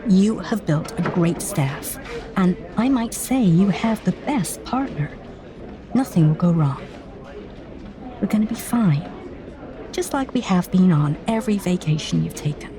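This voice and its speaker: A woman's voice: fairly low pitch, animated, a little slow, music in the background. A woman voicing a breezy, reassuring female business partner, confident and flirty, in an audio drama.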